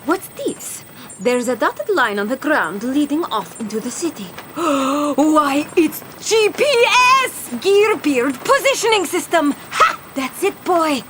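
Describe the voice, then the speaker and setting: A woman's voice: sits high, big pitch swings, slow, noisy background, heavily acted. A woman playing a bossy, treasure-obsessed pirate captain in a cartoon adventure, theatrical and full of salty pirate slang. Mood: excited, bossy. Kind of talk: animated cartoon adventure storytelling.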